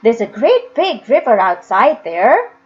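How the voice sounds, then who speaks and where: A woman's voice: on the high side, huge pitch swings, a little slow, lo-fi sound. A woman voicing a lonely, stubborn workhouse boy in a storybook reading. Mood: wistful, determined. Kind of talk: dramatised audiobook reading.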